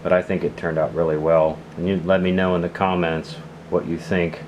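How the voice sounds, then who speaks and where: A man with a low voice talks casually through an unscripted gear demonstration. His delivery rambles and hesitates like an amateur reviewer's. The recording has clear noise behind him. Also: little pitch movement, brisk, dull audio. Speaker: radio hobbyist reviewer.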